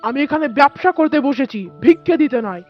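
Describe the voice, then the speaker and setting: A woman's voice: high-pitched, expressive, fast. A woman voicing an arrogant, stingy village sweet-seller, a scornful man in a cartoon story. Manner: scornful, scolding. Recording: dull lo-fi cartoon audio with music.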